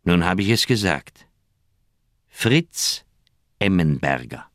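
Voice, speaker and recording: An actor playing a conscience-stricken old doctor in a German radio crime drama, speaking in an earnest, heavy, troubled manner. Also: male voice, sits low, big pitch swings, slow, fairly bright tone, no echo, clean studio sound, heavily acted. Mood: reluctant. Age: older.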